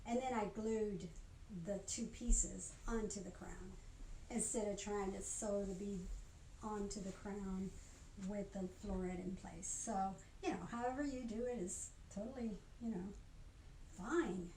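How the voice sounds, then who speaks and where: An older woman giving an informal craft demonstration in a chatty, halting way, often stopping to rephrase. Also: medium pitch, fairly even intonation, mellow. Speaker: craft instructor. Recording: roomy echo, faint background noise.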